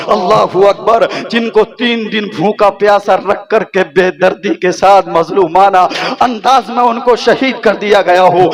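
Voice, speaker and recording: A man preaching a sermon with rhetorical repetition, his voice rising to shouts. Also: sits high. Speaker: Islamic preacher. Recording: live gathering with crowd behind. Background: crowd.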